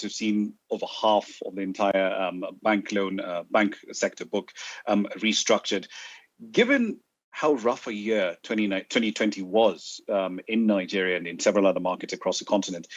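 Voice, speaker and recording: Male interviewer, hesitant, lots of ums, lowish voice, dull sound. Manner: hesitant, many "um" fillers and restarts. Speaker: interviewer, moderator. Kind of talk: interview questions.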